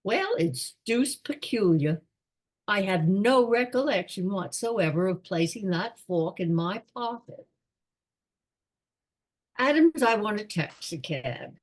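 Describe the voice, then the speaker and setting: A woman's voice: mid-range voice, animated, takes it slowly. A woman playing a doddering, absent-minded elderly lord in a radio comedy, with a pompous, rambling manner. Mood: vague, polite. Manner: pompous, rambling aristocratic musing. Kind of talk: radio play comedy acting.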